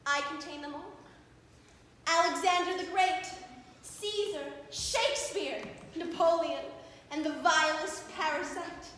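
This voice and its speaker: Very high, slow, solemn universal soul. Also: female voice, a bit bright. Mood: solemn, mournful. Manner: declamatory, mournful, drawn-out repetitions. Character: young actress solemnly playing the lone universal soul. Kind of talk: theatrical stage monologue. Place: theatre stage. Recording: echoey reverberant theatre, faint hiss.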